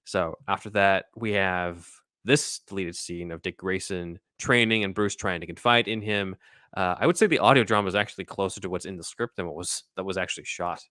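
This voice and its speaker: Casting: male podcast co-host, deep voice, casual fan commentary, dry close sound. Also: a little fast, pushed and bright, no room sound.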